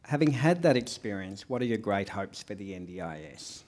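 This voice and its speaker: Low-voiced male panel facilitator. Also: animated, moderate pace, a little mellow. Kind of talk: moderating a panel.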